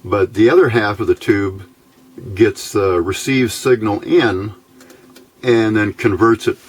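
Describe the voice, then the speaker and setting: A man's voice: on the low side, unhurried, breathy edge. A man giving an informal hands-on technical explanation as an electronics hobbyist, in a plainspoken, folksy, methodical way.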